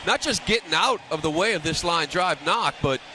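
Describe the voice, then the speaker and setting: A man giving live radio color commentary on baseball, breaking down pitching in a conversational, opinionated way. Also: animated, moderate pace. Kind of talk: live sports radio analysis. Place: stadium broadcast booth. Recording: some background ballpark noise.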